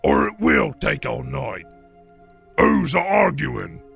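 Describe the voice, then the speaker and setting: Loud, gravelly troll brute. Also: male voice, mid-range voice, big pitch swings, slow pace, faint music behind, exaggerated voice. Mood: indignant. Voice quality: rough, gravelly. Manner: blustering, coarse rustic speech. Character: belligerent loud brutish male troll. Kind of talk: audiobook character voice.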